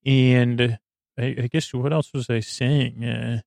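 A man with a slightly low, gravelly voice full of fry hosts a bedtime podcast, recorded very dry. He meanders haltingly, losing his thread and circling back. His manner is whimsical and reassuring. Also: level tone, a little mellow, no room sound. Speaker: podcast host. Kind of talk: rambling bedtime podcast monologue.

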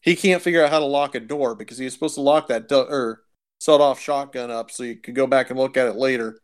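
A man's voice: medium pitch, fast, clean tone. A man chatting casually as a podcast co-host. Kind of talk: casual chat.

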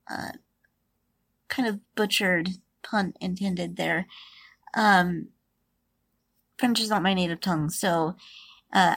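A woman hosting a podcast, reading a steady plot recap and then chatting loosely with fillers. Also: medium pitch, slightly rough, dry recording. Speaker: podcast host. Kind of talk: reading a plot summary, then casual commentary.

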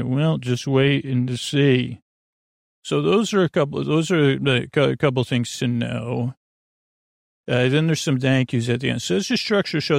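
A man in his forties with a gravelly, creaky voice, hosting a sleep podcast in a meandering, halting monologue that trails off and circles back. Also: medium pitch, dry recording, clean studio sound. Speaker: podcast host. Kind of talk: rambling bedtime monologue to help listeners sleep.

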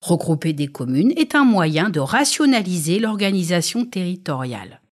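A woman podcast presenter with a French accent from France reads a scripted explainer. Her intonation is very lively and varied, and her delivery is didactic and clearly structured. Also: fairly low pitch, moderate pace. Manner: didactic, structured, poses questions then answers them.

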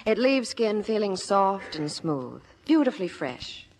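A woman's voice: mid-range voice, big pitch swings, measured pace, darkish tone. A woman working as a Hollywood reporter, delivering scripted promotional chat on 1950s radio. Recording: echoey theater, vintage radio broadcast.

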